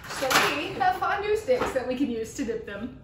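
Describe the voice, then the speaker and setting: A woman's voice: sits high, very animated, moderate pace. A young woman co-hosting a cooking vlog, chatting playfully in a home kitchen and breaking into laughter. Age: young adult. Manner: playful, bursts into song and laughter. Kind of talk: casual chat while cooking. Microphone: camera across the room. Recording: echoey kitchen, far from the mic, noisy.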